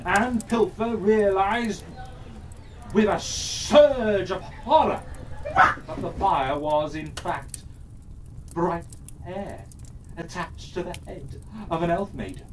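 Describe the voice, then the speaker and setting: Fairytale narrator, dramatic and deliberate, frequent pauses, slightly distant mic with faint background noise. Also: medium pitch. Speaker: storyteller.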